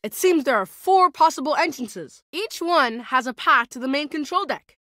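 A brainy young boy hero, high and bright. He is serious and matter-of-fact in a cartoon game style, recorded dry with faint music behind. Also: lively intonation, normal speed, no echo. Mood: focused. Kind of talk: cartoon video game acting.

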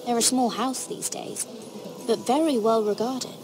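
An actress playing a composed young noblewoman in a fantasy game, measured, polite and probing. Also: fairly high pitch, noisy background, natural voice. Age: young adult. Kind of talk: dramatic fantasy game acting.

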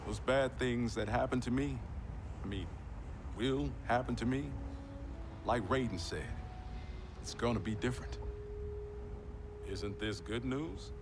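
A man's voice: mid-range voice, unhurried, long pauses, noisy background, lightly acted. A man with an American accent acting a tough, sincere young soldier in a video game cutscene. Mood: hopeful.

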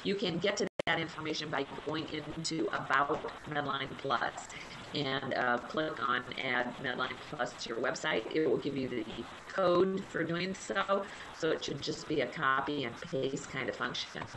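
Low-voiced nasal woman with a cold. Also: normal speed, background noise. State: has a cold, slightly nasal. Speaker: health library liaison presenting a webinar. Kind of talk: casual webinar presentation.

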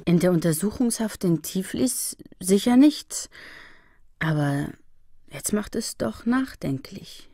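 A woman's voice: medium pitch, lively intonation, takes it slowly, mellow, no room sound, clean studio sound. An actress playing a suspicious, brooding woman thinking through a mystery in radio drama inner monologue.

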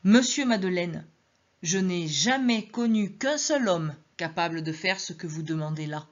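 A woman's voice: lowish voice, expressive, unhurried. A woman voicing a cold, watchful police inspector who stresses each word, on a dull lo-fi recording. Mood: pointed.

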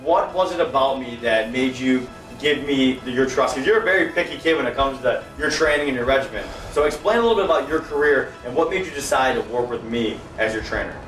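A man's voice: medium pitch, lively intonation, fast talker, few pauses, fairly bright tone, far from the mic, soft background music. Chicago-accent male interviewer, off-camera and off-mic in an echoey room, direct promo-style questions. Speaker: trainer and interviewer. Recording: roomy, off-mic voice.

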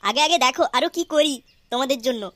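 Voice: high voice, quick pace, background noise, close to an everyday voice. A performer voicing a mischievous young son in a comic Bangla cartoon, with a giggly, exaggerated delivery. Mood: smug. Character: mischievous young son, sheepish, boastful schemer.

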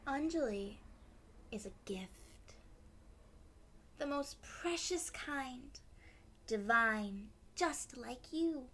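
A woman voicing a gentle, loving mother in a storybook, speaking softly and earnestly to reassure her daughter. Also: sits high, lively intonation, very slow, pauses often, slight background noise, heavily acted. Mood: tender, reassuring. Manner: soft, tender, earnest.

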